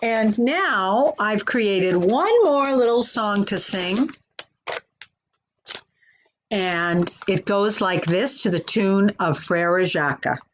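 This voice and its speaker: Woman doing kids storytime, really slow talker, normal pitch, encouraging librarian talking to little ones. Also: expressive, slight background noise. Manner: simple, encouraging, addresses children with questions. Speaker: children's librarian hosting storytime. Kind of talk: live children's storytime, reading and simple activities.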